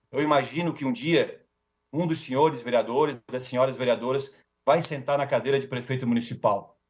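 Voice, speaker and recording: A man's voice: medium pitch, darkish tone. A Brazilian man speaking as a defense lawyer in a formal, rhetorical speech with emphatic repetition and ceremonious forms of address.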